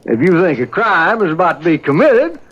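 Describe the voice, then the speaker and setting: A cynical older frontier sheriff with a folksy drawl. His pitch sits a little high and swoops around. It sounds like a muffled 1970s radio drama. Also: sing-song, a little fast, fairly bright tone, full character voice. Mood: sly, evasive. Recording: dull lo-fi 1970s radio recording.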